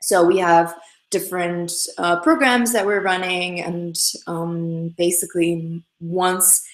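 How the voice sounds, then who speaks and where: A woman's voice: lowish voice, fairly bright tone, roomy sound. A young woman whose first language is Russian, hosting a casual livestream and chatting upbeat through viewers' questions with many fillers and restarts. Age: young adult. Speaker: livestream host. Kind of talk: casual livestream chat answering comments.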